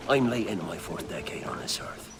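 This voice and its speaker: An actor playing a theatrical, grandiloquent pirate captain in a video game, with a breathy growl and a weary, menacing temper. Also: male voice, low-pitched, big pitch swings, brisk, heavy background noise. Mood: weary, grave. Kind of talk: video game cutscene acting.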